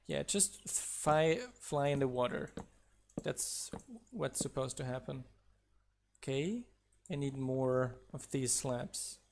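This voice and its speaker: Male non-native English streamer, mid-pitched, slow and relaxed, casual build-along commentary, faint hiss behind. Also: gravelly. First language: not English. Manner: slow, relaxed, counts blocks aloud, laughs at himself. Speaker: mod developer streaming gameplay.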